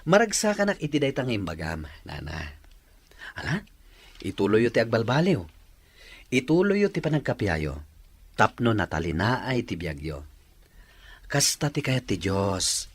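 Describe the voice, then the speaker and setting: A man's voice: lowish voice, measured pace, long pauses, slightly rough, dry recording, full character voice. A man playing a jovial, teasing old man in an Ilocano audio drama, exclaiming in an Ilocano accent. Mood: earnest. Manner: animated, exclaiming delivery. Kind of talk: Ilocano radio drama acting.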